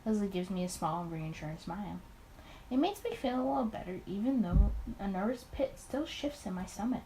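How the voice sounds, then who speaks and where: A woman's voice: mid-range voice, expressive, fairly quick, warm tone, a little hiss or hum, natural voice, poor audio. A woman reading the first-person thoughts of an anxious, smitten young male detective in a visual novel. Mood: anxious, brooding.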